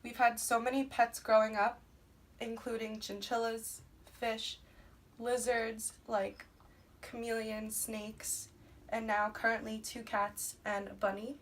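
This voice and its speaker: A woman's voice: on the high side, flat delivery, takes it slowly. A twenty-year-old woman from Brooklyn, reading a prepared class presentation about herself. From Brooklyn, New York. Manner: reads a prepared script steadily, listing items. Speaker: student presenting a class assignment. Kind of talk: scripted personal presentation. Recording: noisy, somewhat distant recording.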